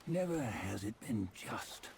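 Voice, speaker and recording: Stern sage, video game cutscene, very slow, gravelly, sententious and archaic, judgmental. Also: male voice, lowish voice, sing-song, a little mellow, a little hiss or hum, full character voice. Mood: solemn, musing. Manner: measured, archaic, sententious phrasing. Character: stern, judgmental sage narrating old sins.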